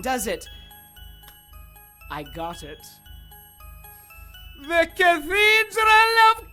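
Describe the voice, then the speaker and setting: An actress in a radio drama playing an ancient, sadistic villainess with a mocking, theatrical delivery. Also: female voice, medium pitch, sharp tone, exaggerated voice. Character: gleeful, sadistic, theatrical villainess, centuries old.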